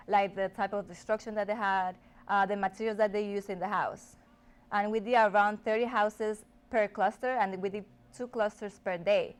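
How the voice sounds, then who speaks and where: Smooth-voiced young woman, native Spanish speaker. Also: medium pitch. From Ecuador. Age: young adult. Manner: chatty, many "like" fillers. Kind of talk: casual studio interview answers. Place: TV studio.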